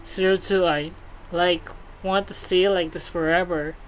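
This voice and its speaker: A woman voicing a lovestruck, awkward male armored guard in a video game, talking in halting bro slang. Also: lowish voice, fairly even intonation, slight background noise, natural voice, poor audio. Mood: earnest, lovestruck.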